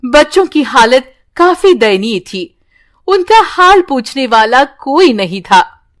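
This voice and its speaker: A woman narrates a children's moral tale in a very high voice. Her pace is slow, and her scripted delivery is sorrowful and dramatic. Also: big pitch swings. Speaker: storyteller.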